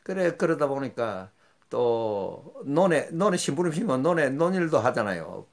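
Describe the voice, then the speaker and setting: An older man talks in a loose, rambling storytelling way. His voice sits in a middle register, and his pitch leaps up and drops sharply from phrase to phrase. He sounds like a host chatting easily about old country days. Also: very animated, normal speed, creaky. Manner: rambling, folksy, with sudden jumps in pitch. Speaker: channel host. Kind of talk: casual storytelling monologue.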